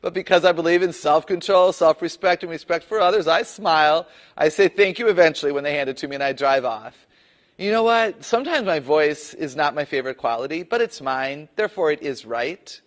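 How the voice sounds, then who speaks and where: A woman with a low voice, a public speaker telling a personal story in an animated, playful way. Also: fairly quick. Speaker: guest speaker. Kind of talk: personal story told to camera.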